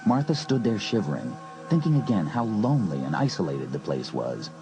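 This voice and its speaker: A man's voice: lowish voice, big pitch swings, brisk, over music, rough recording. A man narrating a scripted suspense story as an audiobook narrator.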